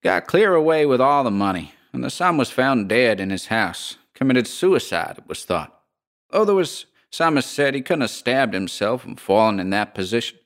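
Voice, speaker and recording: A man voicing a coolly unbothered landlady of a haunted boarding house, with a metallic voice and a slow folksy drawl. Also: medium pitch, fairly bright tone, voice pushed up, close to an everyday voice.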